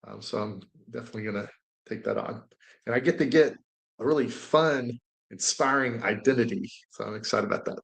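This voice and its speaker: A man's voice: medium pitch, brisk, creaky, poor audio. A man casually sharing his thoughts as a participant on a group video call. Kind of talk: casual chat.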